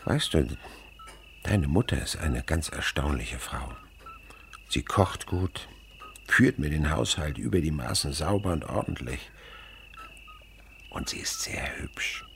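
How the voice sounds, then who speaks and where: An actor playing a kindly older naturalist in a radio play, talking in a gentle, musing storyteller's way. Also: male voice, rumbling low, huge pitch swings, slow pace, long pauses, exaggerated voice. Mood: tender. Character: kindly older French naturalist, widower, indulgent with a boy. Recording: faint background noise, night insects chirping. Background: crickets and animal sounds.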